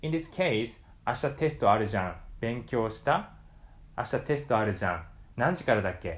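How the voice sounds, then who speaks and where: A young Japanese man with a Japanese accent explains a lesson in a calm, mid-range voice. He says each Japanese example faster and louder than the rest. He is recorded close on a lapel mic in a small indoor room. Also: medium pitch. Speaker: language teacher. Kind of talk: scripted lesson to camera.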